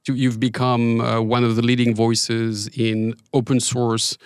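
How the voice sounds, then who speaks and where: A middle-aged man hosts a podcast, friendly and a little hesitant, filling pauses with "um" and "uh". He is a native French speaker talking in English, with an ordinary mid pitch. The recording is dry and close-miked. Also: monotone, clear, resonant tone, no echo. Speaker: podcast host. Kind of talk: podcast interview.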